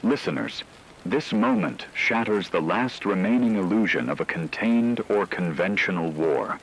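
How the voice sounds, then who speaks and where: A man playing a grave wartime radio news anchor, reading a scripted emergency broadcast in a solemn, measured, formal way. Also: sits low, fairly bright tone, a little hiss or hum, full character voice, low-quality recording.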